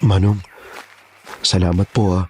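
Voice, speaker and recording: A man's voice: low-pitched, little pitch movement, unhurried, darkish tone, slight background noise. A man playing a frightened, pleading young man in his twenties in a horror audio drama. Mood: subdued, resigned. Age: young adult. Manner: pleading, respectful, whiny. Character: frightened, pleading 26-year-old man hunted by monsters.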